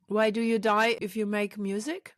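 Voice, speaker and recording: A woman's voice: medium pitch, flat delivery, moderate pace, dry recording. Interviewer woman with a dry close sound. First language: German. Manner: brief encouraging interjections. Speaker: podcast host. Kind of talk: casual interview.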